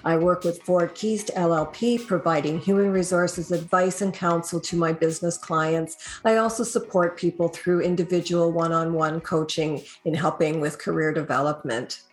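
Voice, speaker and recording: A woman hosting a podcast interview, speaking in a friendly, measured way with small hesitations. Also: lowish voice, fairly even intonation, reverberant, faint music behind, poor audio. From Canada. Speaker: podcast host, HR consultant.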